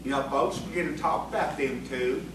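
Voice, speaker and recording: A man's voice: fairly high pitch, normal speed, lightly acted. A man acting in audio theatre as a sly, placating rustic crony with folksy country phrasing. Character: rustic sly crony, placating his hot-headed boss. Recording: echoey, distant, noisy stage recording.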